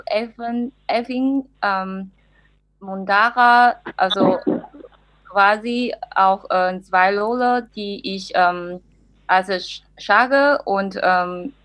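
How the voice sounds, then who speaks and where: A woman performer in an informal interview, speaking haltingly and searching for her words. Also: mid-range voice, a bit bright, dry recording, low-quality recording. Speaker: musical performer.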